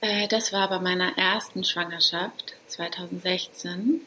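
A woman in her thirties from Hamburg, talking casually and hesitantly as a guest, with many pauses and light laughs. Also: lowish voice, fairly even intonation, pushed and bright, a little hiss or hum, rough recording. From Hamburg, Germany. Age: 30s. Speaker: guest, doula. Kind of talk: casual interview talk.

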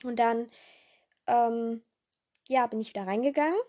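A young woman with a hoarse voice hosting a casual podcast in a rambling way, full of fillers and self-corrections, jumping between voices. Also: on the high side, unhurried, a little mellow. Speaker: podcast host.

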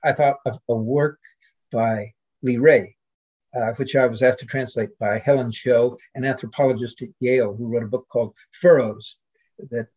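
An older man, a literary scholar, speaking as a guest in a reflective academic conversation, measured and pausing as he considers his points. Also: medium pitch, darkish tone, breathy edge, muffled recording.

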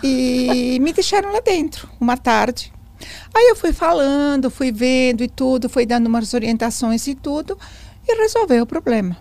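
Older woman with a foreign accent, lively storyteller. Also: high voice, moderate pace. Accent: foreign accent in Portuguese. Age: 60s or older. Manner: animated storyteller, acts out quoted dialogue, repeats phrases. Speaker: interview guest, educator. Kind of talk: storytelling in casual interview.

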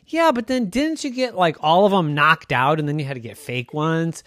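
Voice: medium pitch, lively intonation, normal speed, a bit bright, dry recording, studio quality, close to an everyday voice. A parent storyteller voicing cheeky young children in a teasing, know-it-all manner.

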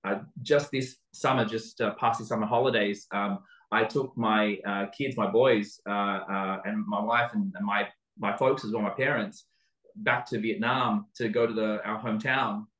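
A middle-aged Australian man answering interview questions in a halting, reflective, conversational way. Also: lowish voice, fairly even intonation, fairly bright tone. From Sydney, Australia. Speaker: interview guest, venture capital investor. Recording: roomy, echoing sound.

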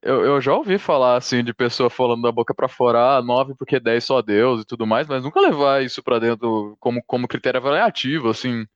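Smooth-voiced man hosting a chat. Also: medium pitch, brisk, few pauses, dry recording, highs cut off. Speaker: host. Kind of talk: casual conversation.

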